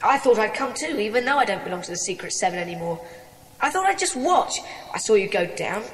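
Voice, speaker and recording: A woman's voice: medium pitch, sing-song, fast talker. A woman playing an eager, plucky schoolboy in a dramatised children's audiobook, telling things earnestly and breathlessly. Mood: eager, explaining. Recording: long echoing reverb.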